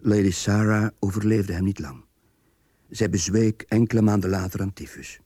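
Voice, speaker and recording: A man narrating a period radio drama in measured, literary Flemish-accented Dutch. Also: fairly low pitch, creaky, dark tone, husky, no echo, clean studio sound. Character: measured male storyteller of a period tale.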